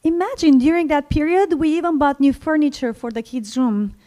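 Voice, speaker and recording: A woman from Syria, telling a personal story to an audience in a slow, reflective way. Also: sits high, lively intonation, mellow. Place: library event stage.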